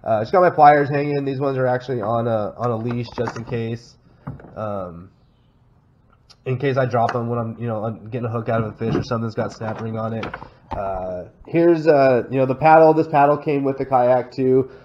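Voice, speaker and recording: A man's voice: medium pitch, steady tone, normal speed. A Texan man with a stuffy head cold, casually walking through his kayak setup with lots of fillers and restarts. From Texas. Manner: rambling, many "uh" fillers and restarts. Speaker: kayak vlogger. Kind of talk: casual show-and-tell walkthrough. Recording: slightly dull sound with faint noise.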